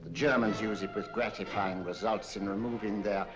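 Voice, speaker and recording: A man's voice: lowish voice, fairly even intonation, normal speed, lightly acted. An actor as a suave, cold male villain. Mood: mocking. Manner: smooth, mocking politeness. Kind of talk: 1940s film acting. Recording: lo-fi 1940s film soundtrack with loud music.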